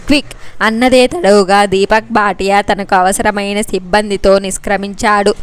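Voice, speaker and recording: A woman's voice: mid-range voice, flat delivery, moderate pace, smooth. A woman reading a scripted story aloud as an audiobook narrator.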